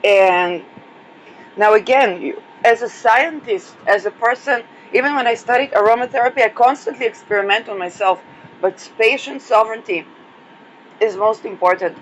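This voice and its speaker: Hoarse woman host, solo astrology talk, hesitant and rambling, light background noise. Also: fairly high pitch, normal speed, poor audio. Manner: hesitant, many fillers and restarts. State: losing her voice.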